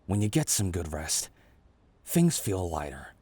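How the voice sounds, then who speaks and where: A gentle, weary older man, played by a male ASMR performer, speaks low and very slowly with a husky, breathy hush. He murmurs haltingly to the listener in a tender, wistful roleplay, his phrases trailing off into pauses. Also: very animated, no echo, full character voice. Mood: gentle, reassuring. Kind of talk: soft-spoken ASMR roleplay addressed to the listener.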